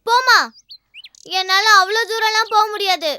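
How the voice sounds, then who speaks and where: A woman's voice: very high-pitched, fairly even intonation, normal speed, bright, forceful tone, dry recording, clean studio sound, exaggerated voice. An actress voicing a cheeky, stubborn young girl in a children's cartoon, with a whiny, demanding, argumentative delivery. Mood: whiny, protesting. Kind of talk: children's animated series dubbing.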